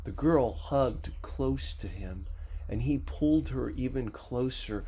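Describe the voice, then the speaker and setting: A man narrating a first-person story as an audiobook reader, with a measured, expressive dramatic delivery. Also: medium pitch, a little hiss or hum.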